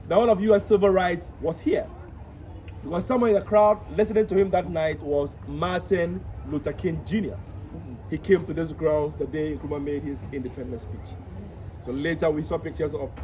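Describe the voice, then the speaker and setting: A man's voice: fairly high pitch, a little mellow, poor audio. Ghanaian man guiding a tour outdoors. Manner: measured, explanatory, rising emphasis on key points. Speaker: tour guide. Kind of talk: guided tour explanation. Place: outdoor memorial park by a city road. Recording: outdoor traffic noise.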